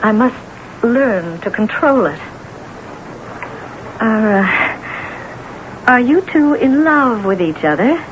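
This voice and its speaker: A remorseful, earnest wife in a radio play, halting over her words. Her voice swings expressively in pitch, on a dull old recording with faint noise. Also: animated. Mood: troubled, remorseful. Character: remorseful wife who believes she has the evil eye. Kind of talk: radio drama acting.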